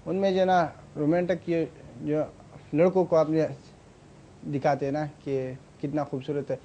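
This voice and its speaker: A young man, a university student and audition contestant, answering in a studio in a halting, soft-spoken way with a sore throat. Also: on the high side, level tone, dull audio. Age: young adult. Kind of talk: hesitant interview answers. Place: TV studio set, seated in a chair.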